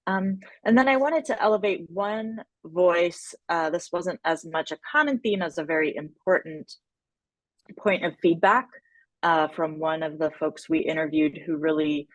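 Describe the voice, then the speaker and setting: A woman presenting feedback informally at a workshop, with measured, hesitant phrasing and searching pauses. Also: lowish voice, dry recording, rough recording. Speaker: workshop presenter on an evaluation team.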